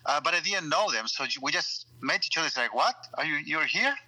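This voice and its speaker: Guy with a really bright, sharp voice, earnest and halting, telling his faith story. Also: on the high side, lively intonation, low-quality recording. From Chile. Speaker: missionary guest. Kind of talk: personal testimony in an interview.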